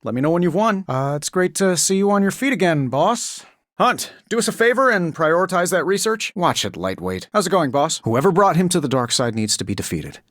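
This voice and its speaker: An actor playing a cocky, quippy superhero inventor in a video game, glib and sarcastic. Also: male voice, a little high, sing-song, fairly quick, fairly bright tone, no room sound, clean studio sound. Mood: wry, confident. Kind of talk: video game voice acting, scripted quips.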